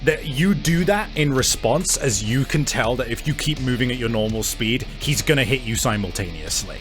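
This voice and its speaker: Animated man running a tabletop game. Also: mid-range voice, moderate pace, faint music behind. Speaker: game master. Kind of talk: narrating a tabletop game, vivid improvised description.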